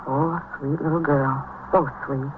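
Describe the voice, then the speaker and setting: An actress playing a grieving, devoted housemaid in a vintage radio drama, plaintive and repetitive, with folksy, deferential speech. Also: female voice, deep voice, fairly even intonation, a little slow, narrow, tinny audio. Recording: thin, band-limited old radio, noisy.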